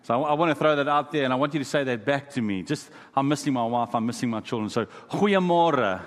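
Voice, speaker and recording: A South African man whose first language is Afrikaans, a guest pastor greeting a congregation in a playful, friendly way with halting restarts. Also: mid-range voice, animated. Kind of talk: sermon opening. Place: church sanctuary. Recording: hall reverb from a church sanctuary.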